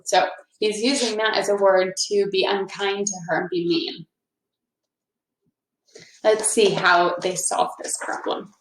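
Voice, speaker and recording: A woman's voice: medium pitch, steady tone, unhurried, pauses often. A woman teacher reading a picture book aloud and explaining things simply for young children. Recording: roomy, echoing indoor sound.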